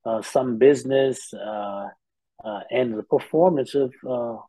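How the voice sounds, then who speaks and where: A middle-aged man from Indianapolis, an R&B singer answering interview questions in a relaxed way, with many "uh" pauses. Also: mid-range voice, dry recording, rough recording. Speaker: R&B singer, guest. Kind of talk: casual interview chat.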